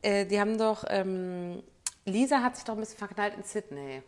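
A woman's voice: medium pitch, animated, moderate pace. A woman co-hosting a podcast, chatting casually and wryly about a reality show. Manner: gossipy, wry, chatty. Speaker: podcast co-host.

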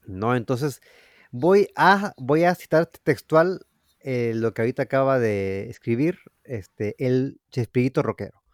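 A man with a Mexican accent co-hosting a casual comedic chat, relaxed and hesitant with many fillers. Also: medium pitch, a little slow, no room sound, clean studio sound. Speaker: co-host.

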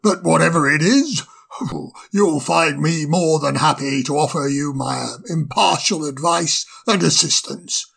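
A reader doing a gravelly old owl professor. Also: medium pitch, measured pace, a bit bright, full character voice. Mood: genial. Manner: chuckling, hesitant, fond of "my dear boy". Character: kindly pompous old male owl professor. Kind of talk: storybook reading.

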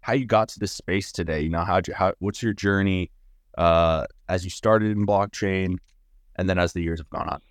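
Deep-voiced male podcast host asking questions. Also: steady tone, normal speed, no room sound, clean studio sound. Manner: casual, rambling questions. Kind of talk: podcast interview.

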